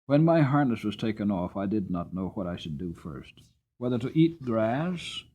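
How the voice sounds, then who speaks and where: A man voicing a gentle old-fashioned carriage horse who recounts his life in earnest, expressive storybook narration. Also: on the low side, normal speed. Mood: fond, contented.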